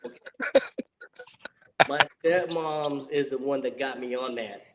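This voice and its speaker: A man in his forties, a Marine veteran from San Diego, telling stories in a casual chat on a video call. He talks to the audience in an animated way and laughs often. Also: medium pitch, measured pace, background noise. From San Diego, USA.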